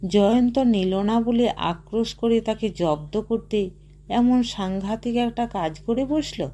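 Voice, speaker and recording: Slow, mellow woman narrating a story. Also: on the high side. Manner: slow and measured, softly voicing characters' dialogue. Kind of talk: scripted audiobook narration.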